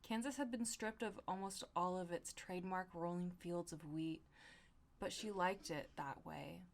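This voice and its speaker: Lower-voiced young woman reading aloud. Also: moderate pace, gravelly. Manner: slangy, profane, giggly asides. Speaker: podcast host. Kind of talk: comedic read-aloud of fan fiction with casual banter.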